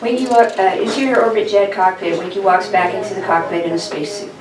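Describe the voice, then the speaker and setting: A woman's voice: mid-range voice, fast talker. Echoey, distant woman narrating a script. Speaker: narrator reading stage directions. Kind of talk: table read of a sci-fi script. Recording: echoey room, distant mic, noisy background.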